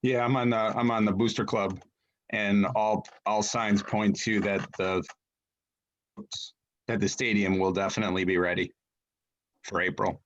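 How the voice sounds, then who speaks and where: A man's voice: on the low side, level tone, unhurried, long pauses. A man speaking casually as an attendee on a video call, with dull, lo-fi sound. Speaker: meeting attendee, baseball booster club member. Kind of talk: casual chat.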